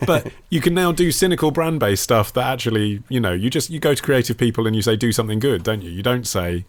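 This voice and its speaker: A man's voice: mid-range voice, lively intonation, quick pace. A man co-hosting a podcast with casual, conversational banter. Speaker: podcast co-host.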